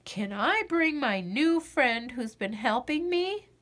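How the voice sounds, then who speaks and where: Lady doing a nervous little frog kid for a storybook, super expressive, slow and confiding. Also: sits high, slight background noise, heavily acted. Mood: scared. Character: anxious little boy frog, confiding and earnest. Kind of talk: children's picture-book read-aloud.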